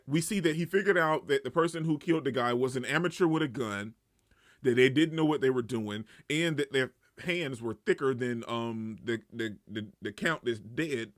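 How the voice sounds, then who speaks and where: A man giving a casual, excitable reaction and review as a channel host, often repeating himself for emphasis. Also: medium pitch, no room sound, studio quality.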